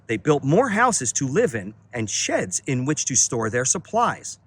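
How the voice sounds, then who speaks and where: A man reading a children's story aloud outdoors in an expressive, dramatic way, with deliberate phrasing and emphatic pauses. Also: mid-range voice, breathy edge. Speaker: children's read-aloud reader, teacher and entertainer. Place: outdoors on a beach.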